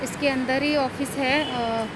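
A woman's voice: high voice, fairly even intonation, takes it slowly. A young woman chatting casually as she vlogs while travelling around the city, filmed on a handheld phone. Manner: chatty, narrating on the go. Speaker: vlogger. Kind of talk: casual vlog commentary. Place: outdoors on busy city streets and in an auto-rickshaw. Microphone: handheld phone camera. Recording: loud traffic noise outdoors. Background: traffic and street noise.

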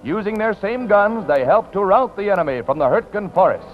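Very high-pitched, rousing American newsreel announcer. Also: male voice, expressive, brisk. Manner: rousing, punchy announcer delivery. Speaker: newsreel narrator. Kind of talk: 1940s newsreel narration and commentary. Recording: dull lo-fi 1940s newsreel soundtrack. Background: loud music.

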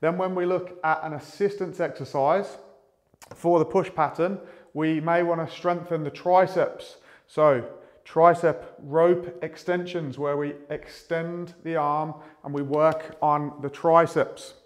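Higher-pitched man explaining training methodically. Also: steady tone, unhurried. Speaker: fitness coach. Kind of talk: instructional explanation to camera. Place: small indoor room with a whiteboard.

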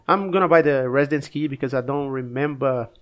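Man, under the weather, coughing, easygoing halting gameplay commentary. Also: mid-range voice, highs cut off. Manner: relaxed, halting, frequent restarts and fillers. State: sick, coughing. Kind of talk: casual game commentary.

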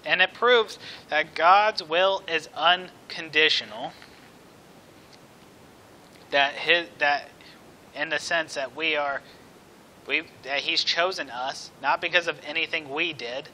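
Male preacher, slightly high voice, slow and emphatic delivery from the pulpit. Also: pushed and bright, a little hiss or hum, low-quality recording. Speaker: preacher, church elder. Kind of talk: sermon. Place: indoors at a church pulpit.

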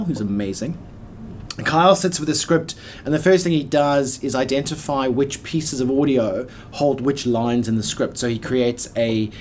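A man in his forties with a mid-pitched voice explains a process informally, as in an interview. He is plain-spoken and adds emphatic asides. There is slight noise in the room behind him. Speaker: radio play producer explaining the process. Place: small office or studio room by a window, indoors.

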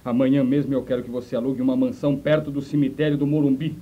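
A man's voice: mid-range voice, little pitch movement, fast talker, husky, narrow, tinny audio, close to an everyday voice. A man playing a haughty, commanding mad doctor in a comic radio play. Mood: haughty, scheming. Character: imperious mad scientist doctor, proud of his creature.

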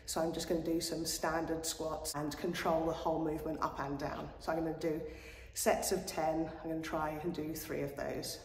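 A woman with a lowish voice talks casually to the camera as she works out. She is wry and self-deprecating. The sound rings with the echo of a small, hard-walled indoor gym. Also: level tone, moderate pace, a little hiss or hum. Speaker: vlogger, injured athlete training. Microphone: camera at arm's length. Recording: echoey, reverberant hard-walled room.

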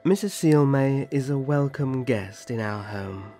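A man playing a gentle, courtly young elf prince in a roleplay audio drama, speaking formally and graciously. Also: mid-range voice, faint music behind, natural voice. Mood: formal, polite. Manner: formal, gracious, measured storytelling.

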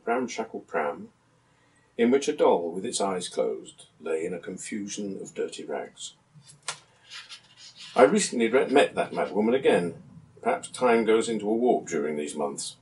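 A man in his seventies reading a literary passage aloud in a measured, articulate storytelling manner. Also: fairly low pitch, darkish tone. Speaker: reader of a book passage. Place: small book-lined room at home, indoors. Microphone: camera a few metres away. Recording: distant camera microphone in a room.

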